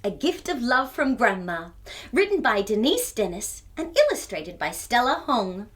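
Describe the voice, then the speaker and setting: Animated, high-voiced woman reading to children. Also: unhurried. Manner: animated, exclaiming, asks the young listeners questions. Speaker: children's storytime presenter. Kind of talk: expressive read-aloud storytelling for young children.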